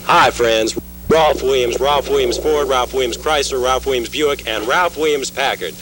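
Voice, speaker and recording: A man's voice: mid-range voice, moderate pace, loud music behind the voice, natural voice. A man playing a rambling used-car salesman in a parody television commercial, with asides and stumbles. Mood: smooth greeting. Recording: noisy amateur 1960s newsreel recording.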